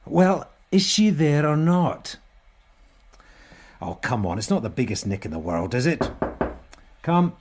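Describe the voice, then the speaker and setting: Sardonic, animated police sergeant. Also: male voice, on the high side, measured pace, long pauses, slight background noise. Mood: exasperated. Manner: dry, sarcastic, exasperated. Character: grumpy, busy, sardonic male police detective sergeant. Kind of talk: radio drama acting.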